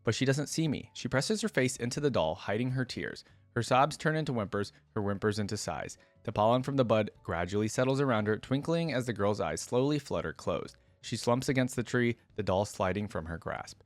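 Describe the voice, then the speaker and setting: A man voicing a shy, clumsy young female fawn spirit as he reads a fantasy story aloud in a gentle, hesitant manner. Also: medium pitch, expressive, brisk, hardly any pauses, no echo, soft background music, natural voice. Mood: timid wonder. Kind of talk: first-person fantasy story read aloud.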